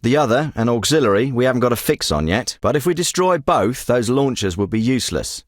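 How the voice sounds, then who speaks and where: A man's voice: medium pitch, animated, brisk, no room sound, clean studio sound, lightly acted. A man voicing a curt covert ops handler. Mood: briefing. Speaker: special forces handler. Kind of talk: game voice acting.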